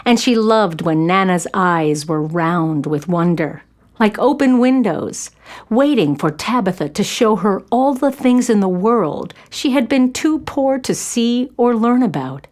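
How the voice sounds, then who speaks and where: A woman acts as a gentle narrator of a children's tale. She reads scripted lines slowly and tenderly, with expressive, lively intonation. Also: medium pitch, close to an everyday voice.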